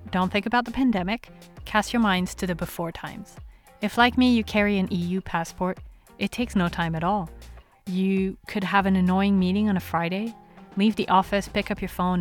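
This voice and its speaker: A woman hosting a podcast, opening with a scripted introduction and then interviewing her guest in a playful, curious way. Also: mid-range voice. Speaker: podcast host.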